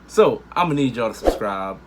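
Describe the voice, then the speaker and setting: A man's voice: mid-range voice, expressive, a little fast, heavy background noise. A man hosting a reaction video, chatting casually and upbeat straight to his viewers with slangy turns of phrase. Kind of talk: casual direct-to-camera chat.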